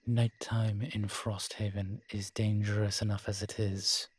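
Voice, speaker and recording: A man playing a world-weary, morose male vampire in a roleplay audio drama, speaking in a measured, formal, brooding manner with sighs and pauses. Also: fairly low pitch, little pitch movement, husky, no room sound. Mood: worried, grim. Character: weary old male vampire, morose realist, formerly cruel.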